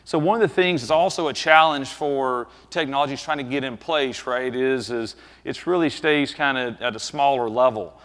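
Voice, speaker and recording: A man's voice: mid-range voice, moderate pace, hardly any pauses. A man in his thirties with a Southern US accent, giving a conversational business talk on a conference stage through a headset mic, dropping in frequent tag questions. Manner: conversational, frequent tag questions, animated gestures while speaking. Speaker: conference presenter. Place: large conference hall stage. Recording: long reverb of a large hall.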